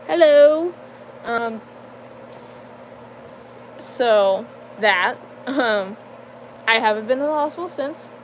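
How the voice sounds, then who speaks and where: Slow, hesitant young woman vlogger. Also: medium pitch, fairly bright tone, a little hiss or hum, low-quality recording. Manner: hesitant, halting, many fillers and restarts; nervous laughs between sentences. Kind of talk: casual personal life update.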